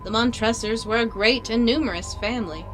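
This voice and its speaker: A woman acting in an audio drama as a scheming aristocrat, speaking formal archaic lines with measured pauses and feigned concern. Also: on the high side, music in the background, close to an everyday voice. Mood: ominous, stately. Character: scheming aristocrat feigning solicitous friendship, secretly vengeful.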